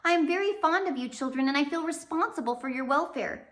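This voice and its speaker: A woman voicing a kindly woman judge in a storybook, speaking in a careful, deliberate, earnest way. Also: high-pitched, voice pushed up, close to an everyday voice. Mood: fond, gentle. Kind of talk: storybook character voice.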